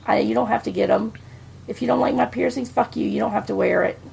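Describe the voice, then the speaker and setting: Gravelly, husky woman. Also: a little high, fairly even intonation, fast talker, darkish tone. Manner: blunt, swears freely. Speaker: podcast co-host. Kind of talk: casual chat.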